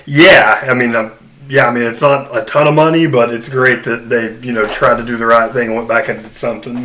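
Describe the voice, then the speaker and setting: A man's voice: medium pitch, fairly even intonation, a little fast, a bit bright, rough recording. Casual male podcast co-host, mid-range voice. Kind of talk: casual chat.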